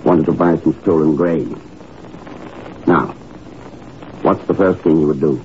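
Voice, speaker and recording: Suave secret agent, low voice, dry and unruffled, vintage radio drama, muffled noisy recording. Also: male voice, steady tone, a little slow. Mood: probing, composed. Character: suave, shrewd male secret agent posing as grain buyer. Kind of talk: 1950s radio drama acting.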